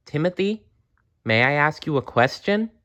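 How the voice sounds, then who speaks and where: A man voicing a gentle, wise and giggly stuffed bear in a children's storybook, with a kindly, earnest way of coaxing. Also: a little high, animated, moderate pace, voice pushed up. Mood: gentle, curious.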